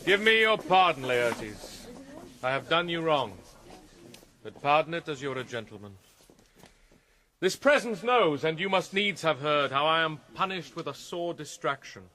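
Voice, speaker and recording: An actor playing a troubled, witty and melancholy young prince in a classical Shakespearean radio drama, speaking theatrically with a quick mind. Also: male voice, a little high, sing-song, pushed and bright, full character voice. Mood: earnest, declamatory. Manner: theatrical, quick-witted, shifting between dry mockery and reflection.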